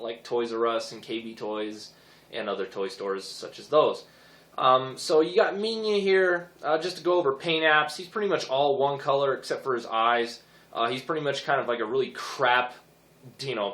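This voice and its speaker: A hoarse young man rambling casually with many fillers as he reviews his toy figures. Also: medium pitch, fairly bright tone, reverberant.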